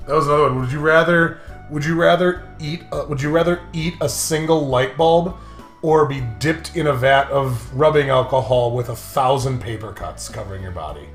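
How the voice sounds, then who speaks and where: A man's voice: mid-range voice, normal speed, reverberant, soft background music. A man co-hosting a casual comedy chat, giggly and rambling as he spins absurd hypotheticals. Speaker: podcast co-host.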